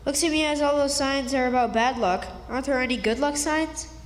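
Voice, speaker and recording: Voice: high voice, a little fast, lightly acted. A performer playing a plucky, skeptical country boy in a stage radio drama, talking in a plain, folksy dialect. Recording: reverberant hall, live stage performance.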